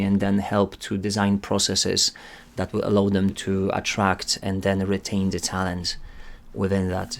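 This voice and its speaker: Guest voice: man with a Polish accent, low-pitched, even delivery, earnest and measured. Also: creaky. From Poland. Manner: measured, earnest, slightly formal phrasing. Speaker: guest, climate recruitment director. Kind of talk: interview answers.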